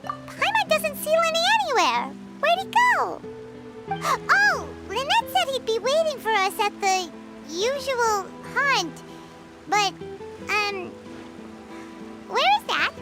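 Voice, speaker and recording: Tiny cute game fairy, very high squeaky voice, animated swoops, excitable, music behind. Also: female voice, slow pace, pauses often, exaggerated voice. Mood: curious, chatty. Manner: speaks of herself in third person. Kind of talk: video game voice acting.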